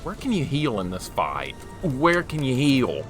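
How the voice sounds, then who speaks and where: A man's voice: mid-range voice, wildly swooping pitch, a little slow, over music. A man in his forties streaming a game, chatting casually with viewers and reacting to play. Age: 40s. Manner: chatty, talks to chat while reacting to the game. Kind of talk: casual live-stream chat while gaming.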